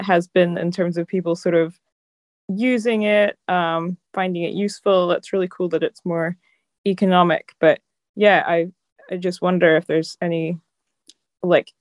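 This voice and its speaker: A woman's voice: fairly low pitch, darkish tone, dry recording. A woman in the audience asking a tentative question full of fillers.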